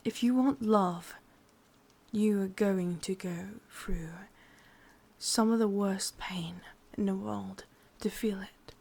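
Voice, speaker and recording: A woman's voice: mid-range voice, slow, vocal fry, darkish tone. A woman with an English accent playing a sadistic, possessive yandere witch in an ASMR roleplay, mostly whispering in a coaxing yet commanding way. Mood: possessive, insistent.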